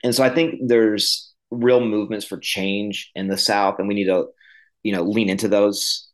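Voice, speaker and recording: A man with a fairly low voice, a guest on a podcast who grew up in the Midwest. He talks loosely, with plenty of "um" and "like," speeding up and then slowing down as he thinks. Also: flat delivery. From Midwest, Illinois. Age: millennial. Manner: conversational, many fillers, uneven pace. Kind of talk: podcast interview.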